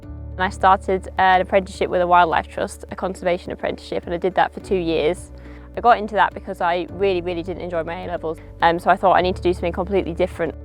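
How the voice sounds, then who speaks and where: A woman's voice: fairly low pitch, flat delivery, quick pace, faint music behind. A young woman who trained as a conservation apprentice, speaking in an earnest, matter-of-fact way in a documentary.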